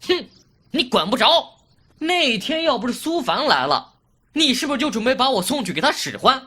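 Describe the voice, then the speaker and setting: Someone playing a bratty boyish youth, swooping pitch. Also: very animated, moderate pace, sharp tone, slightly rough, full character voice. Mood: indignant. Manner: petulant, cocky, scolding. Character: bratty, mouthy young fox-spirit younger brother, boyish. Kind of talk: Chinese fan audio drama acting.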